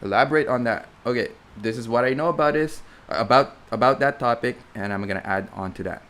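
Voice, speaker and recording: A man's voice: mid-range voice, brisk, fairly bright tone. A young man with a Filipino accent, a flight instructor rambling through a live Q&A stream and often correcting himself. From Philippines. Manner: chatty, rambling, self-correcting with many fillers.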